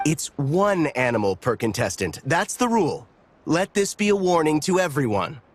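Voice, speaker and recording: A man's voice: a little high, big pitch swings, normal speed, slight background noise. A man playing an officious, stern tournament referee in a video game, speaking in a formal, declarative way. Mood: stern, official.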